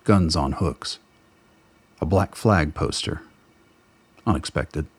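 A man with a gravelly, husky voice giving scripted dramatic narration for a radio play. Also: rumbling low, slow pace.

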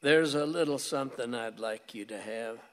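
A man's voice: medium pitch, moderate pace, long reverb tail, natural voice. An actor playing a folksy, stubborn but tender old grandfather in a radio play. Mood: calm resolve.

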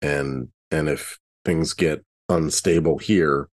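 Male tabletop player, extremely low voice, casual in-character talk, halting and repetitive delivery. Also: fairly even intonation. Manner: halting, repeats words as he thinks aloud. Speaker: player in a tabletop role-playing game.

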